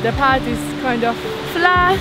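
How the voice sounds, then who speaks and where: Travel vlogger, woman, outdoors on a trail, slow and upbeat, music bed behind. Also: sits high. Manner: enthusiastic, exclaims at views. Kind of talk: casual vlog narration while hiking.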